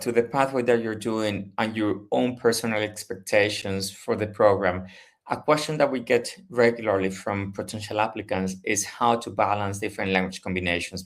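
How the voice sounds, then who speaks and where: A man with a lower voice hosts the student panel and puts questions to the students. He stumbles and repeats words as he sets up each question. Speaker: interviewer and moderator.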